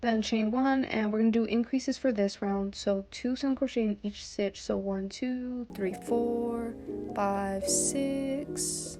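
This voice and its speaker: A woman's voice: fairly high pitch, slow pace. A woman narrating a step-by-step craft tutorial, often counting aloud, as its host. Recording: soft music bed under voice. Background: background music.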